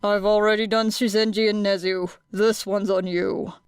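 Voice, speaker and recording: A gruff, grumbling man, played by a woman reader, blunt and unyielding in an audiobook. The voice is smooth and clear, sitting at a medium female pitch, recorded dry. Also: level tone, moderate pace, dry recording, close to an everyday voice. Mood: irritated. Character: gruff, grumbling, unyielding male hero teacher. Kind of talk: audiobook character acting.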